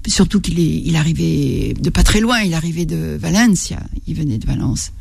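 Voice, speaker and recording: An older woman from Algeria, a writer, talking slowly and reflectively in an interview, often stopping to search for her words. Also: sits low, expressive, gravelly, darkish tone, husky, dry recording. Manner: halting, searching for words, many restarts.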